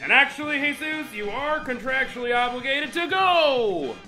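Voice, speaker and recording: A flamboyant TV dating show host with a very high, bright voice. He swings widely in pitch, pushing contestants along with grand, theatrical announcements in a comedy. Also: big pitch swings, normal speed, soft background music, exaggerated voice. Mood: grandly announcing. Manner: exaggerated, dramatic announcer delivery.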